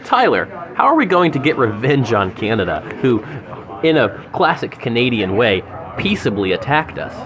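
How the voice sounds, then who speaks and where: Young male podcast host, mock-formal and animated, loud restaurant chatter behind. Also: medium pitch, moderate pace. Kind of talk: mock news anchor banter. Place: sushi restaurant.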